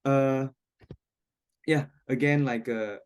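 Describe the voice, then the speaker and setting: A man from Indonesia with an Indonesian accent, speaking as an interview guest in a casual, candid way, with halting phrasing and frequent hedging words. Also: medium pitch, fairly even intonation, a little mellow, clean tone.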